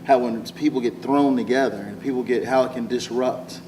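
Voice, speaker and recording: Clear noise surrounds a man speaking from a little distance in a casual interview. His voice is average in pitch, and he rambles thoughtfully as he explains. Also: fairly even intonation, a little fast. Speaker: actor being interviewed. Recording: noisy room, distant microphone.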